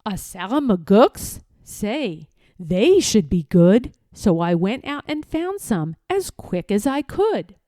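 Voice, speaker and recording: A woman reading a children's story aloud in a theatrical, rhythmic way, as expressive storytelling. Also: mid-range voice, slow, no echo, studio quality. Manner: theatrical, rhythmic rhyming delivery. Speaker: storybook reader.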